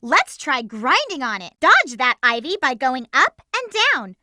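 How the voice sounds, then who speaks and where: Game role: young lovestruck hedgehog girl. Spirited and emphatic, lively swooping pitch, close dry sound. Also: a little slow, no room sound, full character voice. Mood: chatty, determined. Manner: perky, emphatic, exclamatory delivery. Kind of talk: video game character voice lines.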